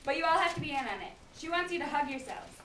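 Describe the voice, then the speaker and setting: A woman's voice: high-pitched, lively intonation, quick pace. A young woman presenting theatrically to children in a readers theater show. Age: young adult. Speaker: student readers theater performer. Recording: distant mic, echoey room, loud noise.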